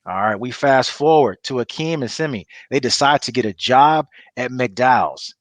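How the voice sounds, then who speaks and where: A man with a mid-pitched voice narrates scene directions in a dry recording. His delivery is informal, like someone casually telling a story. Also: normal speed, no echo.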